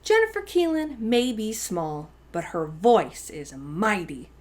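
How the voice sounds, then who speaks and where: A woman's voice: mid-range voice, big pitch swings, slow. A woman hosting children's storytime, reading a picture book aloud expressively, with dramatic emphasis on key words.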